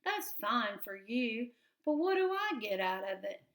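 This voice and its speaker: A woman's voice: a little high, very animated, a little slow, fairly bright tone, roomy sound, heavily acted. A woman voicing a hearty, teasing blind male mole.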